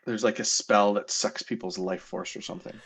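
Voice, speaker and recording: A man with a fairly low voice takes part in a tabletop game, questioning the game master about spells. He tosses in wry, deadpan quips between questions. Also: brisk, a little hiss or hum. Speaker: player. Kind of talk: casual chat at a tabletop game.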